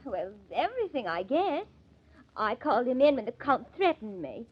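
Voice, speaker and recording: An actress playing an anxious, hesitant blackmail victim in a 1950s radio mystery, earnest in manner. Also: female voice, high voice, big pitch swings, normal speed, slightly rough, heavily acted. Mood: anxious, defensive. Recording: dull old radio recording.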